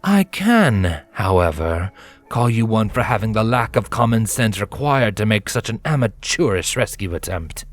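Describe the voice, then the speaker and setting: A man's voice: lowish voice, sing-song, moderate pace, faint music behind. A man voicing a smug, menacing bat-pony spy master who speaks in a purring, formal, condescending way in a fantasy story reading. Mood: cold threat.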